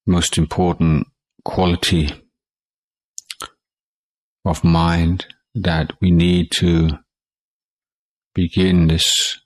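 A man teaching guided meditation in a calm, measured way, leaving long pauses between short phrases. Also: low-pitched, dry recording, rough recording. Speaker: Buddhist monk meditation teacher.

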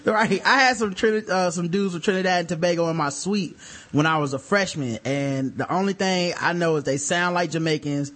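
A man's voice: high voice, fairly quick, fairly bright tone. A man hosting a podcast, telling stories in loose, profane, laughing banter. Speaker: podcast host.

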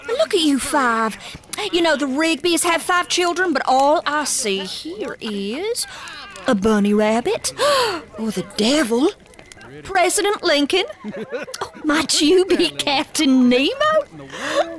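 A woman playing a doting, excitable frontier hostess with an American Southern accent in a radio drama. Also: high voice, slow, heavily acted. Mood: cheerful, hospitable.

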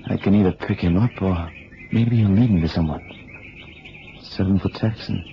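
An actor playing a restless, streetwise investigator in a radio drama, talking in a colloquial, muttering way and keen for action. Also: male voice, low voice, normal speed, gravelly. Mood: watchful, wry. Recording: muffled, noisy old radio recording.

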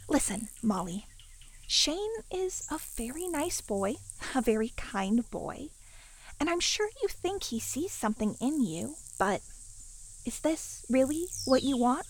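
A woman acts as a camp leader in a horror radio play, her voice fairly high. She speaks softly and with control, pointed and falsely gentle, condescending under the sweetness. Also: breathy edge, exaggerated voice. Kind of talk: horror audio drama acting.

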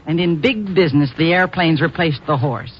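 A woman's voice: low voice, moderate pace, natural voice. An actress playing a brusque, no-nonsense woman who owns a ranch in a 1950s radio drama. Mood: businesslike. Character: tough, curt woman ranch owner. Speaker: radio actor. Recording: muffled, hissy old radio recording.

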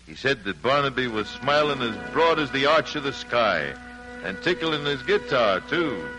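A man playing a folksy American storyteller, narrating family tales in an unhurried 1940s radio style. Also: mid-range voice, lively intonation, over music, close to an everyday voice, low-quality recording. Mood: homespun, storytelling. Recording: old 1940s radio broadcast.

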